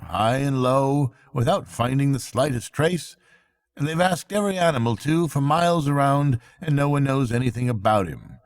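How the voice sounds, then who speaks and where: A man's voice: mid-range voice, a little mellow, voice pushed up, natural voice. A man voicing a worried, kindly water rat who speaks in an earnest, confiding way, as in a bedtime storybook. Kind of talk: bedtime storybook character reading.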